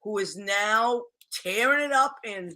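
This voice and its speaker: A middle-aged woman hosting a casual talk show from home, animated and exclamatory, jumping in with questions. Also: mid-range voice, slow pace. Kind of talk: casual interview chat. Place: home room, indoors.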